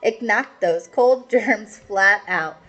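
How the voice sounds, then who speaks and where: A woman's voice: medium pitch, slow pace, a bit bright, dull audio. A woman storyteller reading a picture book aloud for children, with casual asides.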